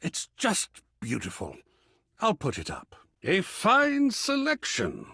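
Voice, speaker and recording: An actor playing a flamboyant, gushing, art-obsessed living artwork in a video game, with a theatrical, affected delivery that savours each word. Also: male voice, sits high, wildly swooping pitch, slow, gravelly, sharp tone, slightly rough, no echo, studio quality, full character voice. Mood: admiring, pleased.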